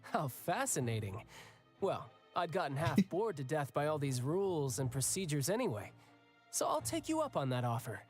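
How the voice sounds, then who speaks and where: Cocky, swooping young warrior. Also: male voice, a little high, very animated, moderate pace, faint music behind. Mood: amused, cocky. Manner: breezy, sardonic, theatrical. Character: cocky, amused young male warrior on trial. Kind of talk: video game voice acting.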